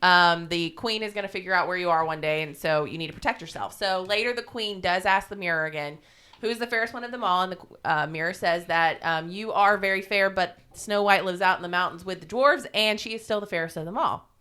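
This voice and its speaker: Female podcast storyteller, irreverent and informal; lowish pitch, very clear tone. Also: brisk, pushed and bright. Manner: irreverent, salty-tongued, informal. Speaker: podcast co-host. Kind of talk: casual retelling of a fairy tale.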